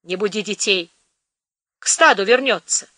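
A woman voicing a gruff, commanding man who speaks brusquely. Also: on the high side, lively intonation, normal speed, fairly bright tone, no room sound, studio quality. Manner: brusque, bossy.

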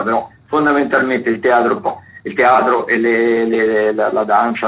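A man giving theatre listings on the radio in a conversational, halting way, often repeating and correcting himself. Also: medium pitch. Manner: halting, frequent repetitions and self-corrections. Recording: dull lo-fi sound, clear background noise.

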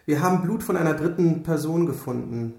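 Male, dry crime scene technician, deadpan, somewhat high pitch, radio crime drama, echoey room. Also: brisk, creaky. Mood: matter-of-fact, reporting.

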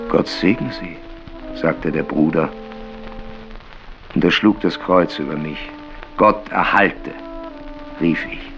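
An actor playing a melancholic, bitterly ironic writer who recites his works in a theatrical, deliberate way in a radio play. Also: extremely low pitch, vocal fry, muffled recording, exaggerated voice. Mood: mournful. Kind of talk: literary radio play reading.

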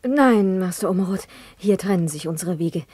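Soft, mellow young lady. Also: on the low side, moderate pace, husky, no echo. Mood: polite, reserved. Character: gentle, polite young lady, pleading daughter of a colonel. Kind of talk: German radio play acting.

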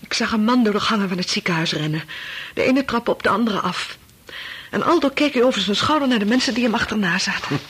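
An actress playing an anxious wife in a radio play, with a husky voice and a hesitant, fretful way of talking. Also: female voice, medium pitch, animated, fast, a bit bright. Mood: agitated recounting. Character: anxious wife recounting a frightening dream, fretful.

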